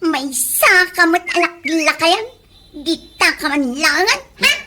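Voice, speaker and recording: A woman's voice: very high voice, animated, measured pace, bright, forceful tone, full character voice. A woman with an Ilocano accent playing a quarrelsome, thin-skinned gossip in a comedy radio drama, whining and confrontational. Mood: angry. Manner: indignant, confrontational, whiny exclamations. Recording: noisy home-made radio drama recording.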